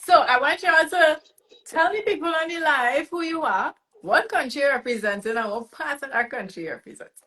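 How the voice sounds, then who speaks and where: A woman with a fairly high, very bright voice hosts a relaxed interview. She talks in a lively, teasing way and breaks into laughter often. Her tone stays casual and chatty throughout. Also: brisk. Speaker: podcast host.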